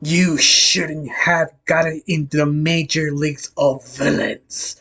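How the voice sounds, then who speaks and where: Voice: medium pitch, steady tone, a bit bright. Cruel fat mafia pig boss, acted by a storyteller, male, pompous story villain, drawing out every threat. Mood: cold menace. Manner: slow, drawn-out, grandiose threats.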